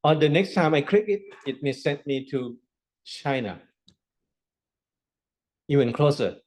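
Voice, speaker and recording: A man's voice: a little high, lively intonation, measured pace, a little mellow. Man giving a classroom lecture. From Thailand. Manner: explains with rhetorical questions and repeats himself. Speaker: university lecturer.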